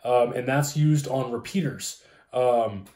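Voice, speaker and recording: Mellow-voiced man in an echoey room. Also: medium pitch, slow pace. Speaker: co-host explaining ham radio. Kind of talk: casual explainer chat. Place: small room at home, indoors. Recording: roomy, echoey home room.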